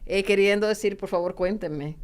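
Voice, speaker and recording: A woman, hosting a podcast interview and often pausing on filler sounds. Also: medium pitch. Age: 60s. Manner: hesitant, many filler sounds. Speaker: podcast host. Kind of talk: interviewing guests.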